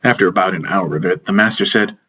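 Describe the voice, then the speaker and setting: A man's voice: low voice, fast, pushed and bright, breathy edge. A man reading a scripted first-person story as an audiobook narrator, with music behind him. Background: background music.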